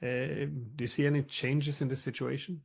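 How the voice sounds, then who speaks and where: Male interview host, conversational questioning, noticeable vocal fry. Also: mid-range voice, little pitch movement, unhurried.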